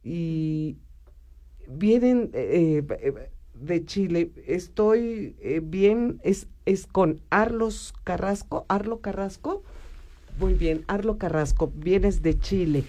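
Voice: mid-range voice, animated, takes it slowly, mellow. A radio host with a Mexican accent interviewing guests in the studio, chatty and full of fillers.

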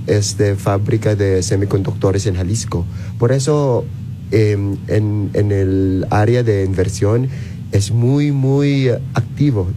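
Clear background noise surrounds a low-pitched man from the Philippines. He answers as an interviewed ambassador, halting now and then as he looks for words in a language he learned later. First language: Filipino. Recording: clearly noisy ambience behind the voice.

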